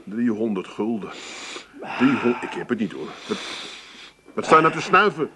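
An actor playing a broke, grumpy, scheming adult son in a comic radio play, dry and indignant. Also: male voice, mid-range voice, expressive, normal speed, bright, forceful tone, slight background noise. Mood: aggrieved.

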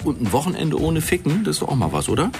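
An actor playing a slick, sleazy porn director in a radio drama, upbeat, chummy and wheedling. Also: male voice, on the high side, wildly swooping pitch, quick pace, gravelly, heavily acted. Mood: breezy persuasion. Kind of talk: radio crime drama acting. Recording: music behind the voice.

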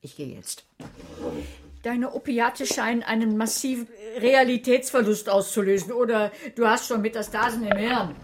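An actress playing a sardonic, greedy retired librarian in a radio drama, older, with a dry, wry, literary delivery. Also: female voice, a little high, fairly even intonation, moderate pace, background noise, natural voice. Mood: indignant. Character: greedy, sardonic retired librarian woman narrating her story. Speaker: narrator and lead character. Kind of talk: radio drama narration and dialogue.

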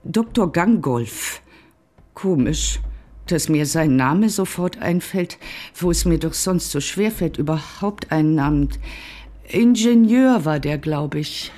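A woman's voice: low voice, expressive, slow pace, dark tone. An actress playing a dry, disillusioned divorced woman in a radio play, speaking with a sardonic edge. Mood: dry, detached. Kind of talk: radio drama acting.

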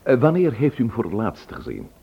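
A man's voice: mid-range voice, huge pitch swings, brisk, creaky, husky, full character voice. An actor playing a courteous, persistent man investigating a murder in a radio drama, with hesitant fillers before his pointed questions. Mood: polite, persistent. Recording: dull, lo-fi old radio recording.

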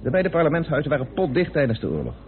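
An actor playing a composed, well-informed British parliamentarian turned sleuth in a Dutch radio play, explaining things in a measured, deliberate way. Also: male voice, on the high side, huge pitch swings. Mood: explaining, assured. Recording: narrow, phone-like old radio recording.

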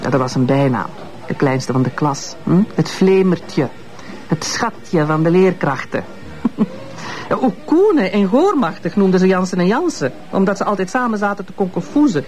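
A woman's voice: lowish voice, lively intonation, normal speed, a little mellow, soft background music, close to an everyday voice, low-quality recording. An actress with a Flemish accent playing a bitter, sardonic ex-wife in her fifties in a radio drama, speaking in a mocking, insinuating way. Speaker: witness, ex-wife.

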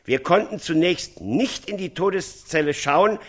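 An actor playing a newspaper reporter who gives a careful, solemn eyewitness account in a radio drama. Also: male voice, a little high, lively intonation, unhurried, fairly bright tone.

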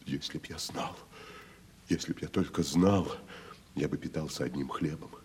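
Radio drama: tormented boozy wandering player, bass register, theatrical and remorseful, slight hiss on the tape. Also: male voice. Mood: bitter remorse. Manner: declamatory, anguished, dramatic pauses, drops into whispers. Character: grandiose, tormented drunken wandering actor, self-reproaching brother. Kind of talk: theatrical Russian radio play acting. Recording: faint hiss of 1970s radio recording.

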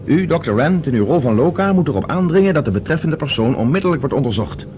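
A man's voice: a little high, sing-song, fast talker, a little mellow, a little hiss or hum. A man playing a decisive professor in a science fiction radio drama, speaking with urgent, analytical authority. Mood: decisive, insistent. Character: decisive male professor plotting as captive space traveller.

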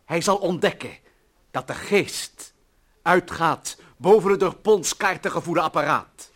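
An actor playing an earnest, idealistic employee who defends humanity in solemn, bombastic radio-play oratory. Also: male voice, fairly high pitch, measured pace, a bit bright. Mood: defiant. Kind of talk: declamatory older radio-play acting.

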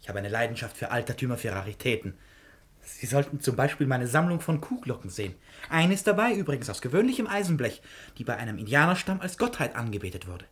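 A nervous, talkative eccentric for radio drama. His medium-pitched voice is husky and very lively, moving from confessions to theatrical outbursts with a self-dramatising edge. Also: fast talker. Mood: courteous, eager. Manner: theatrical, swinging from confiding to outbursts. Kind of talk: German radio drama acting.

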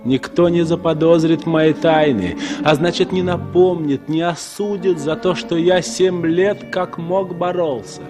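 An actor playing a lonely, disillusioned traveller in a 1960s radio drama, speaking in measured, declamatory phrases. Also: male voice, a little high, fairly even intonation, close to an everyday voice. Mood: brooding, wistful. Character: weary, disillusioned male traveller, brooding and lonely. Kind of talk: 1960s radio drama acting, verse-like interior monologue. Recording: 1960s radio play recording with music. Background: music.

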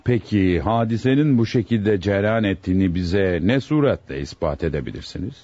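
An actor playing a stern, fatherly presiding judge in a radio drama, speaking formally, deliberately and with authority. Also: on the low side, animated, warm tone, no room sound. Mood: stern, admonishing. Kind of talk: Turkish radio theatre acting. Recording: muffled, dry lo-fi radio recording.

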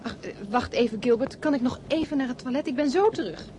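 Actress as insistent lover, highish voice, noisy surroundings. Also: female voice, fast, dark tone, lightly acted. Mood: tender, pleading. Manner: pointed questioning, intimate. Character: woman lover eloping, insistent, pleading, possessive. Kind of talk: Dutch radio play acting. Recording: clear background ambience noise.

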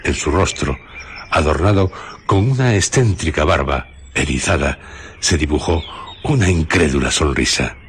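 Very deep-voiced male narrator. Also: animated, normal speed, a little hiss or hum. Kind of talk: scripted radio drama narration.

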